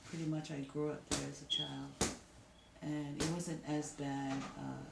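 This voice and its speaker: A woman in her forties from Tonga, an interviewee, recalling her past slowly and haltingly. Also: deep voice, level tone, gravelly, echoey room, very noisy. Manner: slow, halting recollection.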